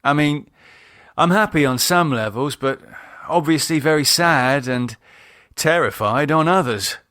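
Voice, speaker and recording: A man's voice: on the high side, measured pace, a bit bright, dry recording, clean studio sound, voice pushed up. A man acting a wary, sardonic man. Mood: sardonic, anxious. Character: uneasy, sardonic adult man, wary and reluctant. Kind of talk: audiobook character dialogue.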